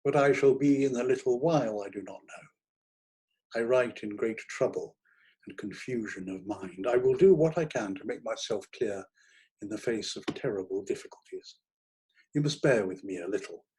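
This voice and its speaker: A man reading an audiobook in the role of a troubled, rambling young dramatic critic, theatrical and hesitant, swinging from hushed to emphatic. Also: on the low side, normal speed, slightly rough, poor audio. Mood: distressed, confused.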